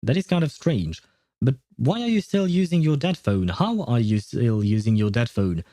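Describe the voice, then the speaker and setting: A man livestreaming a game, chatting casually with viewers and explaining things step by step with hesitant pauses. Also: mid-range voice, very animated, mellow. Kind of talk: casual gaming livestream chat, answering viewer questions.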